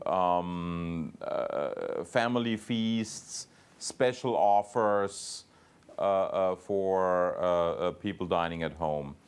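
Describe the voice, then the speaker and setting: A man speaking as a company executive, answering investor questions off the cuff in a halting, emphatic way with repeated words. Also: low-pitched, smooth. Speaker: company executive on an earnings call.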